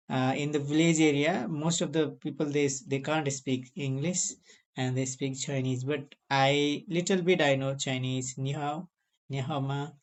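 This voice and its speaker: A man's voice: a little high, darkish tone. A young man from Nepal, hosting a casual video chat in simple, broken English with emphatic bursts. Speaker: channel host.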